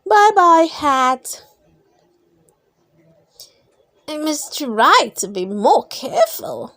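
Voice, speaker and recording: A woman's voice: sits high, sing-song, takes it slowly, fairly bright tone, no echo, studio quality, pitched above the performer's own voice, full character voice. A woman voicing a hapless, polite male raccoon from a children's storybook, plaintive and fretful. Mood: resigned, fretful.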